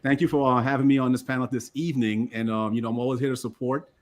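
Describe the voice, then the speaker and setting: An older man from Guyana speaks on a panel in a relaxed, easygoing way. He introduces himself casually and laughs often. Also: mid-range voice, racing, a little hiss or hum. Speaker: panelist, former Marine.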